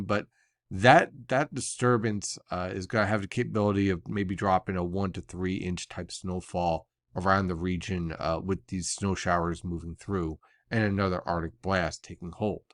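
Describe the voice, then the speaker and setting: American male forecaster, lowish pitch, analytical, conversational, explanatory briefing style, dry sound. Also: fairly even intonation, normal speed, no echo. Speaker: weather forecaster. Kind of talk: explanatory weather briefing, semi-casual.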